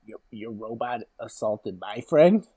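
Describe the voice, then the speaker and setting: A man playing a tiny, smug crime boss in an improvised scene, breezy and creaky-voiced. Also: medium pitch, animated, unhurried, crowd noise, voice pushed down, natural voice. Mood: smug, nonchalant. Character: tiny cloaked crime boss with cane, male, smug, nonchalant.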